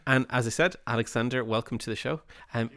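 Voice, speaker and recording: A man's voice: mid-range voice, quick pace, sharp tone, no echo, studio quality. A man hosting a podcast, articulate and playful in his introductions. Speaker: host.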